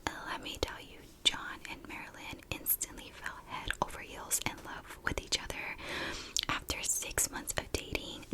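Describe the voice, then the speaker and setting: A woman in a breathy whisper, telling a story in a chatty ASMR style, close to the microphone. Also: poor audio. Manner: chatty, conversational asides. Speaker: ASMR video host.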